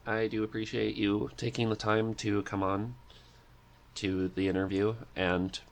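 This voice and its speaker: A man's voice: on the low side, little pitch movement, unhurried, gravelly. A man hosting a podcast, chatting casually with a guest in a laid-back, dryly playful way. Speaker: podcast host. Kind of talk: casual interview chat.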